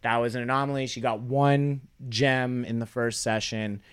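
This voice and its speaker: A man's voice: medium pitch, fairly even intonation, normal speed, smooth. A young man, a comedian, telling a rambling, wry story in casual podcast chat. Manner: rambling, wry, trails off into quoted asides. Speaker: comedian guest.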